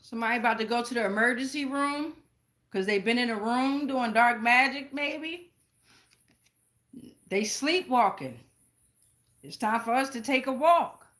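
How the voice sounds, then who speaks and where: American woman, higher voice, sharp bright tone, casual tarot reader, slangy, profane, rambling. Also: expressive, measured pace, pauses often. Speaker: tarot reader, channel host. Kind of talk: casual live tarot reading.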